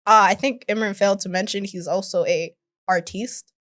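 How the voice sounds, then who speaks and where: A woman's voice: medium pitch, fairly even intonation, moderate pace, fairly bright tone. A young woman co-hosting a podcast, teasing and giggly in casual chat. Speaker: co-host.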